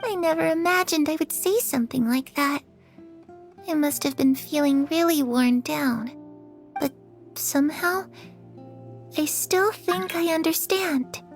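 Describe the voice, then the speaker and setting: A woman voicing a gentle, trusting young girl in a video game, earnest and softly wistful. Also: high-pitched, faint music behind. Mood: reflective. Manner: soft, unhurried, earnest. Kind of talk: dramatic video game acting.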